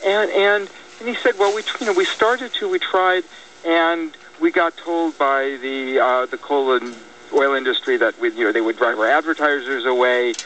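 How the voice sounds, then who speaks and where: An American man speaking in a political radio discussion, halting and repeating words as he reasons things out. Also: mid-range voice, bright, forceful tone. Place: radio broadcast. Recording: dull lo-fi radio, distant, faint noise.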